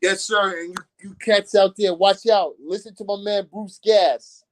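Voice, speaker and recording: A talkative man with a somewhat high voice chats as a guest on a boxing show. His talk is loose and opinionated, rolling from one point to the next. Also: fairly even intonation, moderate pace.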